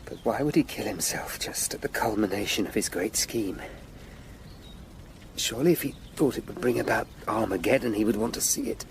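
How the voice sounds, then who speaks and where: An actor playing an anxious, sharp-minded Tudor lawyer investigating crimes in a period radio drama. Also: male voice, lowish voice, sing-song, normal speed, a little hiss or hum. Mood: urgent, deducing.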